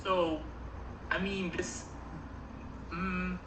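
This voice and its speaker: A man from the United States chats casually, full of "like" fillers. His voice echoes around the room over clear background noise. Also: high voice, flat delivery, very slow. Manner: chatty, lots of "like" fillers. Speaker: guest commentator. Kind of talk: casual chat.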